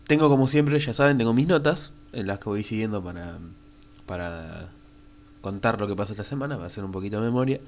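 A man's voice: on the low side, animated, brisk. A young man with a Rioplatense Argentine accent, hosting a casual solo podcast while he has a cold, with a stuffed nose and breathing through his mouth. He rambles frankly and crudely, with plenty of fillers. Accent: Rioplatense Spanish. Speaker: podcast host.

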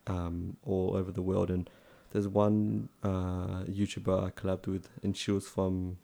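A man's voice: low-pitched, level tone, measured pace, vocal fry, mellow. A young man with a strong Australian accent, talking in a laid-back casual chat full of slang and "like". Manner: laid-back slangy talk, lots of "like" and "bro".

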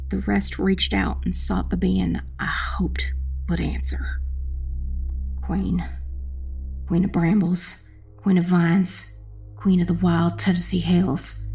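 A woman playing a determined witch who narrates a dark fantasy audiobook in an intense, dramatic, breathy voice with heavy creak. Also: lowish voice, full character voice. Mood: pleading. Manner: intense, hushed, dramatic first-person narration. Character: determined young witch telling her own battle.

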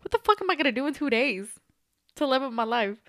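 A young woman co-hosting a podcast, talking stuffy from allergies in an animated, casual, swearing way. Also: high voice, quick pace, dry recording, clean studio sound. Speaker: podcast co-host. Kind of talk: casual chat.

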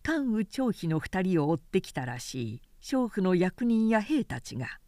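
Expressive woman narrator, very dry close sound. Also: on the low side, no room sound. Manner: clear, measured, expressive storytelling delivery. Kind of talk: dramatic audiobook reading of a historical novel.

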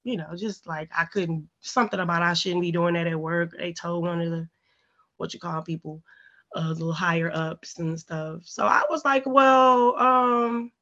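A woman from St. Louis chats on a podcast in a raspy, congested voice. She tells her stories bluntly and animatedly, with plenty of swearing. The audio sounds a little dull and muffled. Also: fairly low pitch, moderate pace. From St. Louis, USA. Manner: blunt, profane, animated storytelling. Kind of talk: casual, candid podcast chat.

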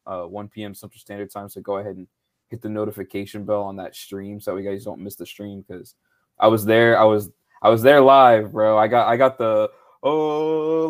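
Laid-back, slightly low-voiced guy losing his voice. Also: clear, resonant tone. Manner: laid-back, loose, swears a lot, says "bro" and "man". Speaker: podcast co-host. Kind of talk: casual live-stream chat.

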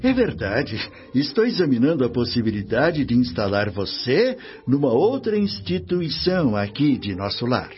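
An actor with a Brazilian accent playing a benevolent, authoritative spirit minister in a radio drama, speaking in a formal, instructive, measured manner. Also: male voice, medium pitch, sing-song, close to an everyday voice. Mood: kindly formal. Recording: dull radio recording, faint music.

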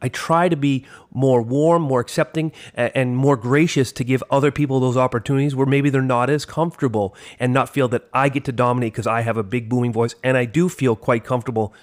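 A man in his forties co-hosting a casual podcast chat, with a big booming theatrical delivery. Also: fairly even intonation, brisk, hardly any pauses. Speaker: podcast co-host, teacher.

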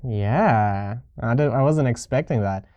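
A man's voice: medium pitch, a little slow, a little mellow, no room sound. A man co-hosting a podcast, chatting casually about movies in a loose, bantering style. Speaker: podcast co-host.

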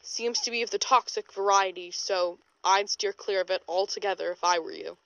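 A woman's voice: medium pitch, normal speed, gravelly, fairly bright tone, dry recording, dull audio. A woman playing a hard-boiled, world-weary female paranormal investigator in a 1920s noir audio drama, with wry, slangy delivery. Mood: brisk, wry. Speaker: investigator and narrator. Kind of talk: audio drama, noir-style recorded case statement.

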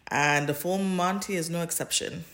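A woman's voice: on the low side, steady tone, normal speed, fairly bright tone. A woman co-hosting a podcast, chatting casually and informally about fan fiction in a giggly, rambling way. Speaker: podcast co-host.

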